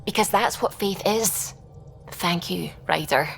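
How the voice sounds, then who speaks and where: Female scientist, slightly low pitch, reflective, earnest, sincere, music underneath, game dialogue. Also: unhurried, vocal fry. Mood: pensive, sincere. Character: earnest, thoughtful female scientist of deep faith. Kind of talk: video game voice acting.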